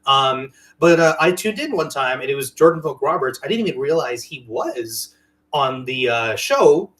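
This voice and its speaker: A man's voice: medium pitch, expressive, moderate pace, fairly bright tone. A man hosting a casual podcast chat about games and movies, with a playful, jokey way of talking. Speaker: podcast host.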